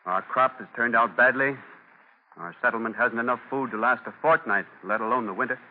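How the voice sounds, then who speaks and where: A man plays a worried messenger in a 1940s radio drama, respectful and urgent. His medium-pitched voice carries a long reverb on a thin recording. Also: brisk, a little hiss or hum, lightly acted. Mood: worried, pleading. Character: pleading, worried messenger from a starving settlement. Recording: tinny, echoing old radio recording.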